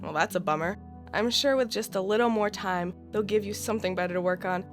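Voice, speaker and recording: A woman's voice: medium pitch, a little fast, over music, lightly acted. A young woman playing a fond, teasing plant lover chatting with a partner in a naturalistic radio drama. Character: lovesick, teasing young female plant enthusiast on the phone.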